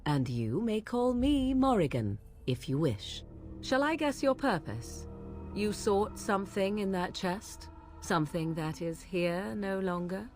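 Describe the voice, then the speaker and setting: A woman's voice: medium pitch, big pitch swings, darkish tone, soft background music. A woman voicing an aloof, mocking young witch who speaks in a haughty, archaic way, in a video game. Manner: haughty, archaic speech, slow and measured.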